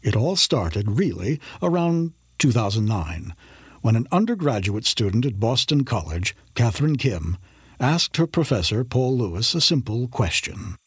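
A man's voice: mid-range voice, sing-song, unhurried, husky, dry recording, clean studio sound. A man reading scripted podcast narration.

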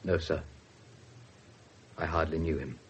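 A man's voice: rumbling low, steady tone, measured pace, husky, full character voice. An actor playing an earnest, deferential young English policeman in a radio drama. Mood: subdued. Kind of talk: 1970s British radio drama acting.

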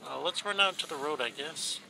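A man's voice: fairly high pitch, brisk, creaky, sharp tone, slight background noise, low-quality recording. A man with a stuffed, runny nose giving casual commentary over his game footage, rambling along with many ums and restarts. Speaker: gaming YouTuber. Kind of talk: casual let's play commentary.

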